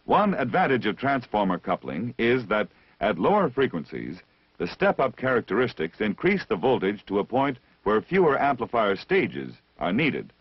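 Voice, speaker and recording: A man reading scripted technical instruction as the narrator of a vintage military training film, measured and deliberate, on a dull old film soundtrack. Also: medium pitch, huge pitch swings, husky, no room sound. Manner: measured, didactic, deliberate enunciation.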